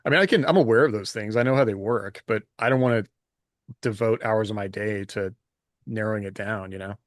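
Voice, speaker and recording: A man's voice: on the low side, fairly quick, dry recording. Candid American man chatting casually, close dry sound. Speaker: podcast co-host, writer. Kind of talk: casual chat.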